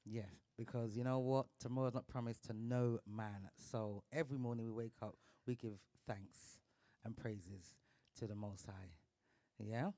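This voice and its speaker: A man's voice: medium pitch, fairly even intonation, normal speed, no echo. Upbeat man hosting a live podcast. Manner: upbeat, gives shout-outs, slangy. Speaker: podcast host. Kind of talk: casual live talk show.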